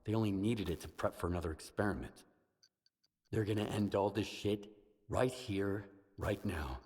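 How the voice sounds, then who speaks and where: An actor playing a gruff, hardened stalker in a video game, terse and blunt. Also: male voice, fairly low pitch, moderate pace, a little mellow. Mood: determined. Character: gruff, hardened male stalker, skeptical loner. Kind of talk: dramatic video game acting. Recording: reverberant hall, noticeable faint noise.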